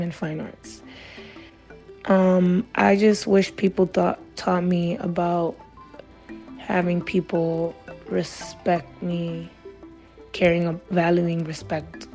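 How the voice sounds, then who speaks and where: Slow, flat-voiced woman with gravel. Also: on the low side. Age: 20s. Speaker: interviewee.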